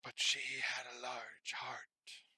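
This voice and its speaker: A man's voice: mid-range voice, little pitch movement, slow pace, bright, forceful tone, husky, no room sound, full character voice. A man voicing a ghostly spirit from a storybook, speaking in an airy whisper. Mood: solemn. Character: hushed ghostly spirit of past Christmases.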